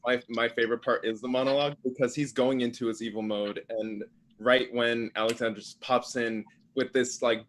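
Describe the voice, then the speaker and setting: A man's voice: medium pitch, steady tone, normal speed, fairly bright tone, slight background noise. A man from a cast chatting casually during a question session. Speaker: stage actor. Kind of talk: casual chat.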